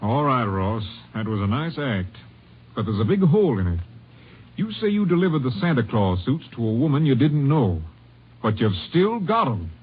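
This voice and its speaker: An actor in an old-time radio drama playing a firm, practical Texas Ranger captain with a blunt, matter-of-fact manner. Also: male voice, mid-range voice, sing-song, moderate pace, breathy edge. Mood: skeptical. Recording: dull vintage radio recording.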